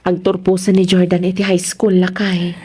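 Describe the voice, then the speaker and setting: A woman's voice: fairly low pitch, monotone, normal speed, warm tone, slightly rough. A woman with a Filipino accent playing a hardworking, worried wife and mother in a radio drama. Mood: worried, downcast.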